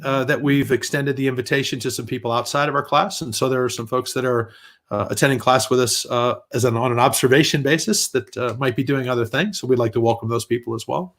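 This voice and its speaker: A male professor welcomes students and guests to an online class, speaking in a halting way with many fillers. He introduces the day's guest speaker over a video call. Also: mid-range voice, a bit bright.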